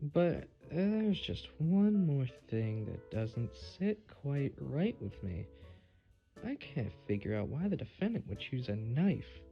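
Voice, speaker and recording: A man's voice: mid-range voice, creaky, dull audio, heavily acted. A man playing a clever, confident defense attorney in an audio drama, pointed and theatrical in delivery. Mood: measured. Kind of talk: amateur audio drama acting.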